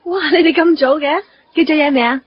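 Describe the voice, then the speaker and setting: A woman playing a brisk, boastful young career woman in a Hong Kong Cantonese radio drama. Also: sits high, no echo, natural voice. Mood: excited, busy. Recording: muffled, music underneath.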